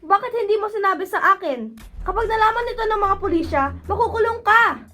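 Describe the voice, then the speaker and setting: A woman's voice: extremely high pitch, lively intonation, fairly quick, background noise. A woman playing an earnest, pleading young daughter of a corrupt mayor in an amateur radio drama. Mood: distraught.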